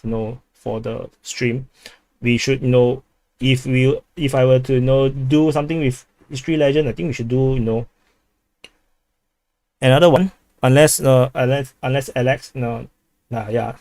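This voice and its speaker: A man's voice: mid-range voice, darkish tone, no echo. A man from Singapore with a Singaporean English accent, hosting a casual livestream, rambling with many restarts while reading chat aloud. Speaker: livestream host.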